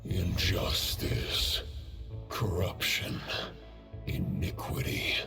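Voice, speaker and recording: A man's voice: very slow, bright, forceful tone. A man voicing an ancient, wrathful wolf spirit in a game, menacing and accusatory, echoing under music. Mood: menacing contempt. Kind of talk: dramatic game voice acting.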